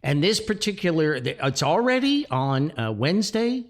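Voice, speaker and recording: Animated man, folksy podcast host. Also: on the high side, moderate pace. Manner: effusive, exclamatory, folksy, digressive. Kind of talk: casual rambling podcast monologue.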